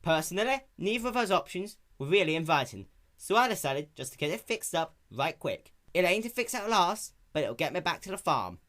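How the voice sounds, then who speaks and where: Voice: mid-range voice, very animated, fairly quick, hardly any pauses, a bit bright, pitched above the performer's own voice. A performer voicing a plain-spoken, hardworking young country mare with an earnest, folksy rural drawl. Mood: easygoing.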